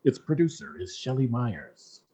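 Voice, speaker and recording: Husky male radio play narrator. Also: mid-range voice, expressive, measured pace, warm tone. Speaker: narrator, announcer.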